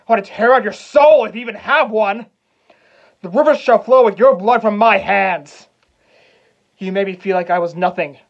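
A man playing a vengeful young prince as a cocky wrestler cutting a theatrical promo, swaggering and taunting with dramatic pauses. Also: high-pitched, lo-fi sound. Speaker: actor.

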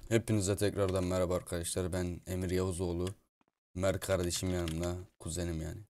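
A man with a fairly low voice hosts a game roleplay video. He improvises comic commentary and swears freely. Faint noise and computer clicks sit behind him. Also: level tone, fairly quick, mellow. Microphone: gaming mic at a computer. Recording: constant noise with keyboard and mouse clicks.

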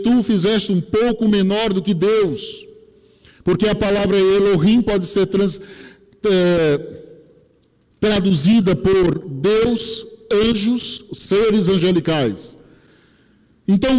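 A middle-aged man with a Brazilian accent preaching a sermon as a pastor, emphatic and explanatory, on a headset mic in a church. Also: high voice. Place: church pulpit, indoors. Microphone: headset microphone. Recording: long hall reverb.

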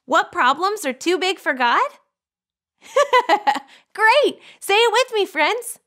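A young woman with a very high, bright voice hosts a children's church show, bubbly and exaggerated as she talks to the kids. Her pitch leaps around as she speaks unhurriedly, with soft music faintly underneath. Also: big pitch swings, takes it slowly, slight background noise. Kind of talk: children's program hosting. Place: studio set.

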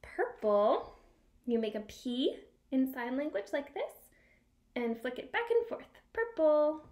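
A young woman reading a picture book aloud for small children and teaching signs, in an animated, exaggerated sing-song manner. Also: high-pitched, slow. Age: young adult. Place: small room at home by a window.

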